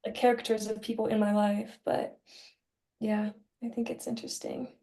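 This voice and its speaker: A woman's voice: medium pitch, monotone, normal speed, mellow. Young adult female podcast co-host, conversational and informal, mid-range voice. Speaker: podcast co-host and writing student. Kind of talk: casual podcast discussion.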